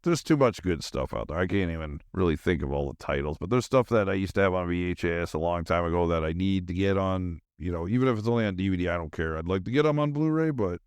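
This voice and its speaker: A man's voice: deep voice, animated, quick pace, hardly any pauses, clear, resonant tone, dry recording, studio quality. A middle-aged man hosting a podcast, chatting casually and enthusiastically in a scattered, slangy way as he thinks aloud. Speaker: podcast host. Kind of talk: casual rambling solo chat.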